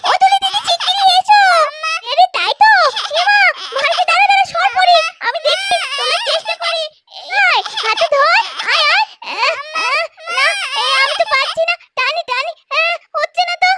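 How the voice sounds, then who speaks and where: A woman's voice: very high voice, moderate pace, noisy background, voice pushed up. A woman voicing an eager, sweet little village girl in a doll story, with childlike exclamations. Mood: alarmed.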